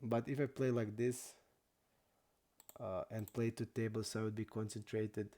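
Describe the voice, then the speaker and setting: A young man from Croatia, not an English speaker, chatting casually and haltingly while streaming poker. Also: on the low side, flat delivery, dark tone. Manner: halting non-native English, many restarts and fillers.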